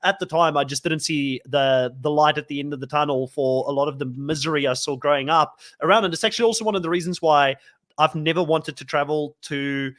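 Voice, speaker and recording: A man's voice: fairly high pitch, brisk, fairly bright tone. A young man from South Africa whose first language is Afrikaans, co-hosting a podcast and chatting casually, rambling with lots of likes and ums. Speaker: podcast co-host.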